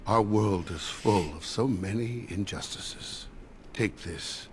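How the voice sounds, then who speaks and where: Low-voiced, worried elf father. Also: slow pace, a little hiss or hum. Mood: solemn, tender. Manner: earnest, cautioning, fatherly. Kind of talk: video game voice acting.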